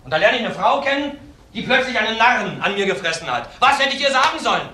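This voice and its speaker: An actor playing a cold-blooded ex-convict widower in a crime drama, defiant, blunt and self-assured. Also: male voice, high-pitched, sing-song, fast, bright, forceful tone, exaggerated voice. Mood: defiant, bitter. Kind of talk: German TV crime drama acting. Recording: faint background noise.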